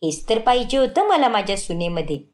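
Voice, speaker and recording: A woman's voice: fairly high pitch, big pitch swings, brisk. A woman voicing a bossy, proud middle-aged mother-in-law in a cartoon moral story, speaking emphatically. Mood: proud, approving. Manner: emphatic, scolding and praising in turns.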